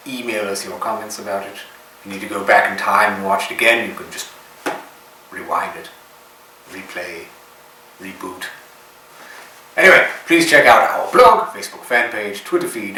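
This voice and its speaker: A man's voice: on the low side, expressive, normal speed, long pauses, pushed and bright. A man hosting an odd sci-fi movie show, giving a scripted presenter's sign-off. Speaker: horror and sci-fi movie host. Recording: roomy, distant mic, faint noise.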